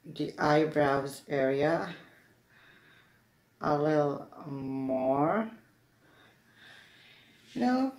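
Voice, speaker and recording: Low-pitched, unhurried woman vlogger, halting. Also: a little mellow. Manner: halting, hesitant delivery. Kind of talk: casual get-ready-with-me chat to camera. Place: plain-walled room indoors. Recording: echoey room.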